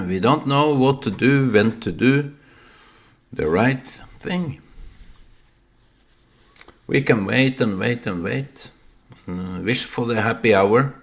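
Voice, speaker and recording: A man with a Norwegian accent, a native Norwegian speaker, talking to the camera in a reflective monologue, halting and full of fillers and restarts. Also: medium pitch, vocal fry. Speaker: vlogger.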